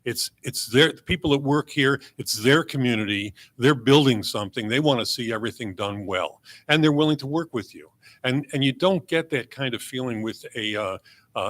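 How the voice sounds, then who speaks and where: A man speaking as a mayoral candidate at a public forum, in a halting, folksy way with repeated words. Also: medium pitch, fairly bright tone.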